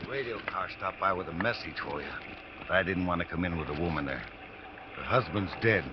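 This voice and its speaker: Low-voiced policeman, muffled old radio. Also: animated, fairly quick. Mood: grave. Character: dutiful rank-and-file policeman reporting to his lieutenant. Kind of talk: 1950s radio drama acting. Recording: muffled, noisy old radio broadcast recording.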